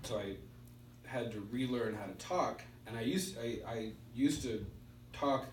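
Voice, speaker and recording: Halting man speaking in a roomy, distant recording. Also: medium pitch. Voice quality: stutter. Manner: halting, spaced-out delivery with pauses. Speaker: speaker at a recovery meeting. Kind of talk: personal testimony speech. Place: indoor meeting room. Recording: roomy, distant mic with background hiss.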